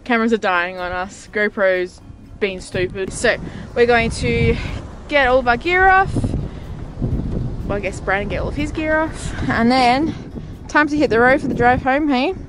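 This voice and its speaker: A woman's voice: on the high side, moderate pace, noisy background. A chatty, enthusiastic woman, talking casually to a handheld camera outdoors in a snowy ski village. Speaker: vlogger. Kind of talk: casual vlog talk to camera. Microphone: camera held at arm's length.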